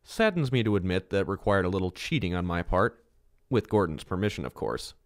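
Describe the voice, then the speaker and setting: A man's voice: sits low, expressive, a little fast. A man playing an earnest, brooding teenage boy detective in an audio drama, serious, polite and understated. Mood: matter-of-fact.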